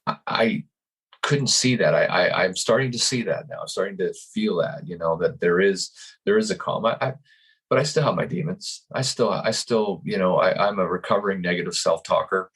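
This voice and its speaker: Deep husky-voiced calm man. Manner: calm, earnest, speaks slowly in thought. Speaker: guest, life coach. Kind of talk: reflective interview answers.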